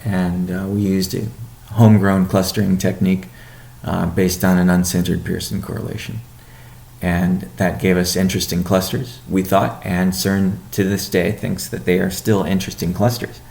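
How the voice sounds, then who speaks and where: A man giving a company update on a conference call as its chief executive, speaking in a measured, halting way with deliberate pauses. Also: sits low, flat delivery, vocal fry, darkish tone. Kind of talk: business conference call presentation.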